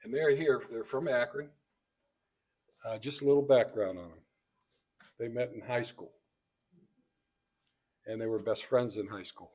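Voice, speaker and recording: Man with halting delivery, mid-range voice. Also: medium pitch, fairly even intonation, darkish tone. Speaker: presenter introducing guests. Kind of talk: banquet introduction speech, read from notes with asides. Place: banquet event room.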